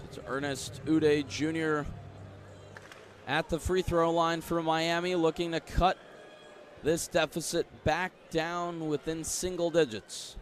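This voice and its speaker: A man doing live basketball radio play-by-play as the announcer, with excited bursts. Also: a little high, slow, a little hiss or hum. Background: basketball arena ambience, bouncing balls.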